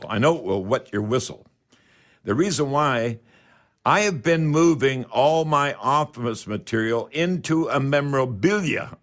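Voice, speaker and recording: An older Canadian man hosts a call-in radio show on home improvement. He speaks in a casual, folksy manner, rambling along and pitching like a salesman into a studio microphone. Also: fairly bright tone.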